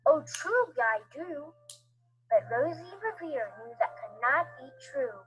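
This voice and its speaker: A woman's voice: sits high, lively intonation, measured pace. A woman reading a rhyming children's story as a scripted storybook narrator. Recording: muffled playback with music underneath.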